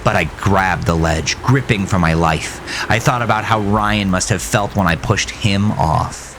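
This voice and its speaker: A man playing a haunted, bitter farmer who narrates his horror story in a grave, brooding, gravelly voice. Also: sits low, a little slow, noisy background. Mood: tense, grim. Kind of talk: scripted first-person horror narration.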